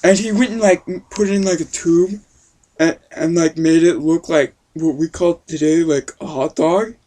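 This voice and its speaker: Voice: medium pitch, steady tone, moderate pace, warm tone, close to an everyday voice. Someone putting on a folksy old gramps telling a rambly made-up story, slangy young wording. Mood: rambling storytelling. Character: totally wicked awesome old grandpa telling a tale.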